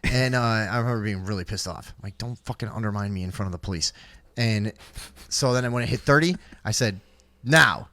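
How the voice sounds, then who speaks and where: A man's voice: fairly low pitch, brisk, bright, forceful tone, no room sound. A man co-hosting a live stream, telling stories casually with slangy, profane banter. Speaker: co-host of a live stream show. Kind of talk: casual comic storytelling and banter.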